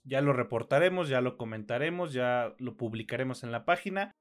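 A man's voice: mid-range voice, fairly bright tone. A tired Mexican man co-hosting a film podcast, rambling informally and correcting himself as he goes. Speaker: podcast co-host. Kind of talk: casual film podcast chat.

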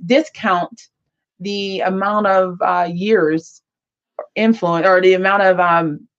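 A woman hosting an interview, speaking in a halting way with many restarts and fillers. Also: fairly low pitch, steady tone, dull audio. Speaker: podcast host. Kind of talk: casual interview chat.

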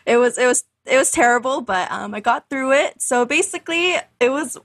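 A woman in her twenties, a podcast guest telling her story in a chatty, animated way, full of fillers and laughs. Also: high voice, fairly quick, no room sound. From Canada. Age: 20s. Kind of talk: casual interview storytelling.

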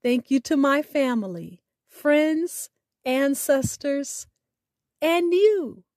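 A woman hosting a radio show, speaking in a deliberate, emphatic way with dramatic pauses, as in scripted spoken word. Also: sits high, expressive, a little mellow, no room sound, studio quality.

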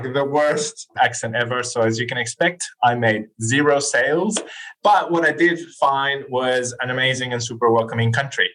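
A man's voice: medium pitch, rough recording. Chatty man around forty. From Chile. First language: Spanish. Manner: animated, chatty, laughs easily, frequent "like" fillers. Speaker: podcast guest. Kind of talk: casual interview storytelling.

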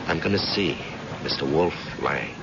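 An actor playing a tough, persistent private investigator in an old-time radio drama, blunt and pressing. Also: male voice, rumbling low, normal speed, gravelly. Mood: grave, resolved. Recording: muffled, noisy vintage radio recording.

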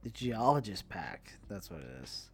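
A man's voice: lowish voice, big pitch swings, measured pace, vocal fry, breathy edge. A man co-hosting a podcast in a casual, conversational style, often restarting and repeating his phrases as he goes. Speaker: podcast co-host. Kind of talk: casual chat about entertainment news.

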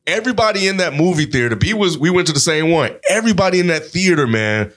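A man's voice: fairly high pitch, animated, quick pace, fairly bright tone. A man chatting casually about films in an emphatic way, with slangy, profane phrasing.

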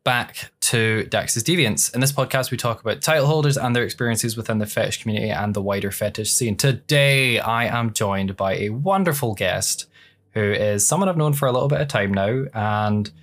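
A man's voice: fairly low pitch, lively intonation, moderate pace, fairly bright tone. A man hosting a podcast, asking his guest questions in a casual, encouraging way. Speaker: podcast host. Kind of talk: casual interview.

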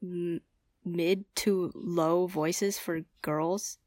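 A woman's voice: on the low side, steady tone, drawn-out words, darkish tone. A teenage girl co-hosting a casual podcast with friends, talking in a chatty way with many fillers and restarts. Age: teens. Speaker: podcast co-host. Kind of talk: casual chat among friends.

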